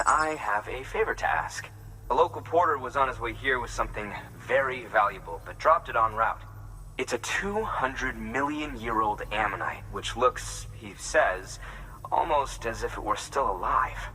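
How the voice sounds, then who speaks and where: A man's voice: medium pitch, animated, normal speed, bright, forceful tone, low-quality recording. A man playing a chatty, matter-of-fact video game character, explaining a request with conversational asides. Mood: explanatory. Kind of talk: video game quest dialogue.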